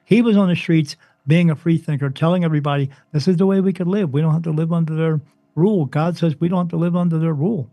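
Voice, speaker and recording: A man from New Jersey, a spirit medium interviewed as a guest, talking emphatically and insistently, his voice soothing and deep. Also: fairly even intonation, fast, dark tone, dry recording. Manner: emphatic, insistent, swings up in pitch when stressing points. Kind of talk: interview, impassioned preaching monologue.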